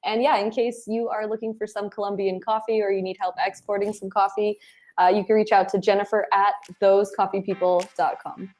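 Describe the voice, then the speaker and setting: A woman speaking as an interview guest, giving advice and telling anecdotes in an animated, conversational way. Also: medium pitch, fairly quick. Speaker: interview guest, head of client acquisition.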